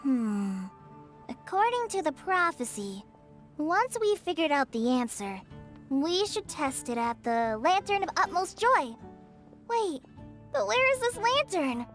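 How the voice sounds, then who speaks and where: Gentle nervous young girl-next-door in a game, really high voice, soft music behind. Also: very animated, unhurried, heavily acted. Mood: puzzled. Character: gentle, nervous young woman, sweet and supportive. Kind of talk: video game voice acting.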